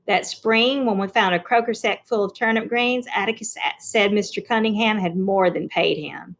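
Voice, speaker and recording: A woman's voice: medium pitch, moderate pace, vocal fry, a bit bright. A woman narrating a novel as a read-along, stepping in now and then as a teacher to explain.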